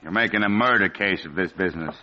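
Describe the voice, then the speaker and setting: An actor playing a stuffy, impatient police commissioner with gruff bluster in a 1940s radio drama. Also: male voice, low-pitched, quick pace, close to an everyday voice. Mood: brisk. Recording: muffled old radio recording with noise.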